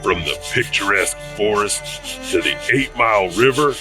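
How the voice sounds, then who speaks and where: A male narrator reads a script in a fairly low voice. His pitch moves around a lot, and music plays clearly behind him. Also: expressive, moderate pace.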